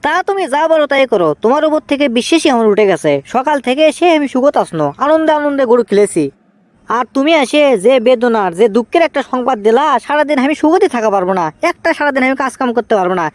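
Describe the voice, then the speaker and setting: A man's voice: extremely high pitch, animated, fast, dry recording. A man voicing an impatient, whiny young son desperate to marry, in comic cartoon dialogue with a Bogura accent from northern Bangladesh. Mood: sulky. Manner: whiny, pleading, exaggerated.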